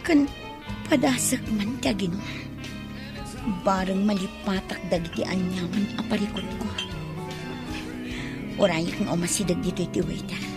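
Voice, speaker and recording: A woman's voice: medium pitch, a little slow, long pauses, over loud music, lightly acted. A woman acting an aggrieved, defiant young wife in an Ilocano radio drama. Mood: brooding.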